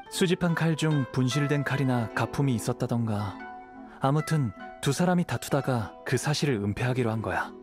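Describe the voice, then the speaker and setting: 남자 성우가 연기하는 차분하고 낮지도 높지도 않은 젊은 검객. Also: male voice, mid-range voice, natural voice. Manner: measured, composed, analytical. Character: calm, thoughtful young wandering samurai investigator. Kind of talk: video game voice acting.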